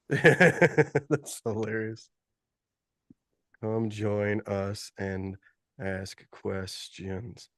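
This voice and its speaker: A man's voice: on the low side, steady tone, extremely slow, long pauses, a bit bright, dry recording. A man with a cold and a sniffly voice, hosting a casual live podcast over a video call, rambling and laughing. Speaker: podcast host.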